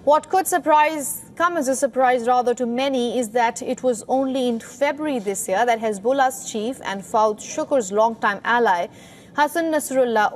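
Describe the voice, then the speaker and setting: A woman with a high-pitched voice delivers scripted news narration as a reporter. Some noise sits faintly behind her voice.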